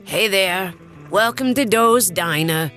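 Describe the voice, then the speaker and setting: A woman playing a portly old-fashioned diner waitress in an audiobook, giving an unhurried service greeting. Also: medium pitch, a bit bright, faint music behind. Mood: routine greeting. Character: portly diner waitress in faded fifties uniform. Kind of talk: audiobook character acting.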